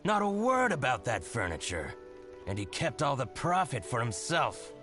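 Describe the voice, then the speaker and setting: A petty, gloating male merchant in a video game. His pitch swings wildly from line to line as he sneers at his rival. He sounds scornful and theatrical. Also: wildly swooping pitch, normal speed, over music. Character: spiteful grudge-holding male merchant. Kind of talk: game voice acting.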